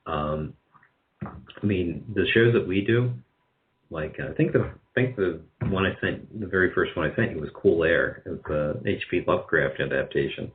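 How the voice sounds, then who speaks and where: A man being interviewed as a radio drama producer, speaking casually and haltingly, often chuckling. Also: low-pitched. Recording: dull, band-limited radio call audio.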